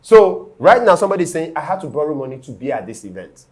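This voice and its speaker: A Nigerian man with a Nigerian accent hosting a casual sports talk show, animated and teasing. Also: fairly high pitch, fast talker. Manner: animated, teasing, laughs often. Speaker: host. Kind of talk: casual studio sports chat.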